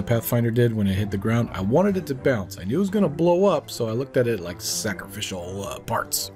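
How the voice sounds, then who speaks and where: A man hosting a gaming channel, slightly hoarse from a coming cold, casually narrating his game build with frequent restarts and self-corrections. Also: medium pitch, darkish tone. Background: faint in-game music.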